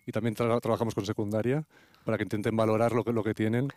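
A male educator from a botanical garden, speaking plainly and practically with the odd restart. His voice is smooth and resonant, recorded close and dry. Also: lowish voice, flat delivery, quick pace, a little mellow, no echo. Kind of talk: guest in conversation.

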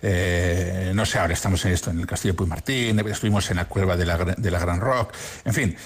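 A man's voice: sits low, fairly even intonation, brisk. A man hosting a travel podcast, chatting casually in a rambling way with lots of fillers. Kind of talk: casual chat.

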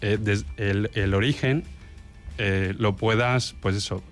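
A man's voice: on the low side, steady tone, takes it slowly, soft background music. A man from Colombia, a barista guest on a radio show, explaining with many hesitations and fillers. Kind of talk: radio interview.

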